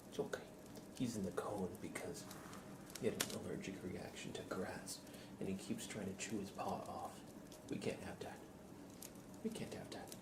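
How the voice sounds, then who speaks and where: A man streaming live ASMR, speaking softly and often in a whisper, with a playful, deadpan roleplay manner. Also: lowish voice, heavy background noise.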